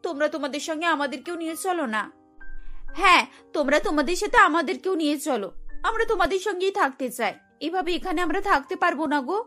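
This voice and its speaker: Cartoon young daughter-in-law, acted by a woman, pleading, anxious, bright high voice, plaintive delivery. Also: lively intonation, fairly quick, soft background music, heavily acted. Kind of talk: cartoon voice acting.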